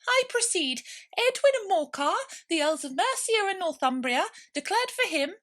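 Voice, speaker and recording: Bossy stuck-up storybook mouse, super high sharp voice, lectures everyone, gets cross easy. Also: female voice, sing-song, moderate pace, heavily acted. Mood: pompous. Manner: pompous, formal, easily cross. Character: self-important, bossy mouse lecturing with authority.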